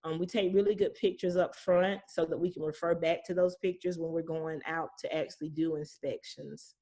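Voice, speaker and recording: Lower-voiced woman, plain-spoken property manager. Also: steady tone, normal speed, warm tone. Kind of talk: conversational expert explanation with anecdotes.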